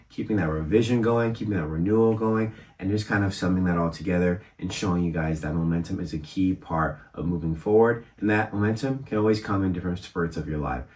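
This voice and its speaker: A young man with a slightly raspy voice, hosting a casual motivational vlog in a conversational, encouraging way. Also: deep voice. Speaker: host of a motivational video series. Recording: echoey room, distant microphone.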